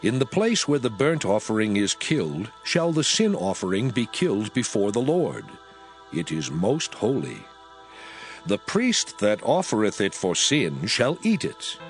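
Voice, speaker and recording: A man narrating Bible scripture in a solemn, measured reading style. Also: lowish voice, wildly swooping pitch, faint music behind.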